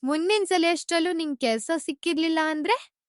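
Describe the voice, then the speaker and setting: A woman's voice: extremely high pitch, a little fast, dry recording, clean studio sound. A woman with an Indian accent playing a demanding, anxious young girlfriend, reproachful and questioning in short-film drama dialogue. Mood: demanding, displeased.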